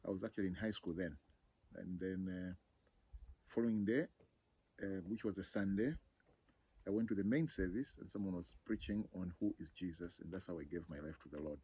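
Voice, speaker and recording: A man from Zambia, a ministry director, recalling his story in a relaxed conversational interview. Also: low voice, brisk, breathy edge, poor audio. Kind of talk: interview testimony.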